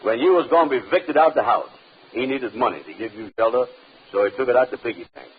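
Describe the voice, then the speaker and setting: Casting: man playing slippery, excitable lawyer type for old radio sitcom, rapid folksy patter, thin hissy broadcast sound. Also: medium pitch, close to an everyday voice. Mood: smooth-talking, persuasive. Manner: folksy, ungrammatical, insistent. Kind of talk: 1950s radio situation comedy acting. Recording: tinny old radio recording, faint hiss.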